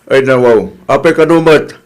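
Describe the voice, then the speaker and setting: An actor plays a middle-aged village father with a medium-pitched voice and an Ilocano accent. He is caring and fair-minded, speaking in a gently admonishing, fatherly way in a radio drama. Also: moderate pace, close to an everyday voice. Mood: concerned.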